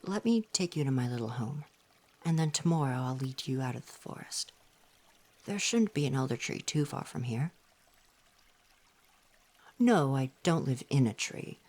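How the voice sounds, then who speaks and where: Man playing a forest spirit, male, soft-voiced, gentle, coy, reassuring, slightly formal wording, ASMR roleplay. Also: mid-range voice, animated, moderate pace, long pauses, close to an everyday voice. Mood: gentle, helpful.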